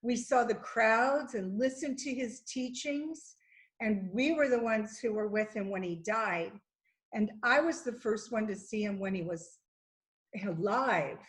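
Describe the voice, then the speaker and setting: A woman acting as a devoted, hopeful disciple of Jesus in a church dramatic reading, earnest and measured. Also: a little high, natural voice, rough recording. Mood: longing.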